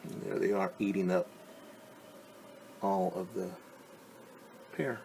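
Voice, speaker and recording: A man teaching young children, reading a picture book aloud and gently asking them questions. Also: low-pitched, fairly even intonation, takes it slowly, vocal fry, slight background noise. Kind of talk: children's story time read-aloud.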